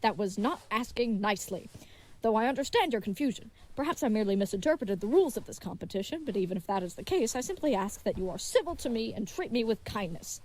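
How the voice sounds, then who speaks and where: Earnest, high-voiced bumbling knight. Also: female voice, lively intonation, quick pace, a little mellow, low-quality recording. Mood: politely protesting. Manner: rambling, formal, naively courteous. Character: tall, earnest, dim-witted, polite nonbinary knight. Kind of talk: comedic fantasy audio drama acting.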